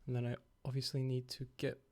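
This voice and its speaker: A young man hosting a casual game-creation livestream from home on a headset, slightly raspy after being ill. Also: medium pitch, brisk. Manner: relaxed, rambling, talks to chat.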